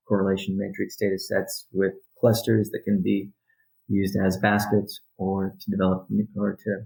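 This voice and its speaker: Man, lowish pitch, company executive, conference call update, measured, deliberate, halting phrasing. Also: gravelly, darkish tone, poor audio. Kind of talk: business update and question-and-answer on a conference call.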